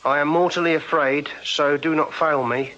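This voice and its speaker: Earnest young strongman, higher-pitched voice. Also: steady tone, moderate pace, bright, forceful tone, highs cut off, a little hiss or hum. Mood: sombre reading. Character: plain-spoken young Victorian music-hall strongman, earnest. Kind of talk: full-cast radio drama acting.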